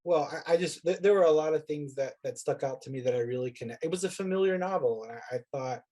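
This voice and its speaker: An American man, a university teacher, reflecting informally on a panel with halting phrases that trail off. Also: a little high, warm tone.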